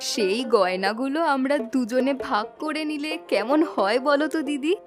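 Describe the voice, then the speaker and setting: A woman's voice: high voice, moderate pace, over music, natural voice. A woman voicing a greedy, ruthless, scheming younger co-wife in a melodramatic cartoon.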